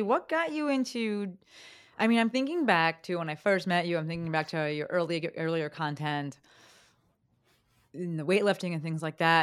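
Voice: medium pitch, smooth. A podcast host asking questions in an upbeat, affirming way. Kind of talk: casual interview talk.

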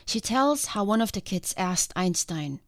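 Female podcast host, congested voice, calm and earnest reflective delivery, dry close-mic sound. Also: medium pitch, unhurried, dry recording. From outside the country she now lives in. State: congested from a sinus infection. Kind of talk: reflective solo podcast monologue.

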